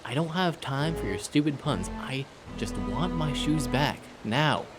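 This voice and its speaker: Man playing a surly, sneering young guy, animated. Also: medium pitch, a little slow, over music, lightly acted. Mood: annoyed, scornful. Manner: sneering, defensive. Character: surly, dismissive young male bully suspect. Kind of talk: audio drama acting.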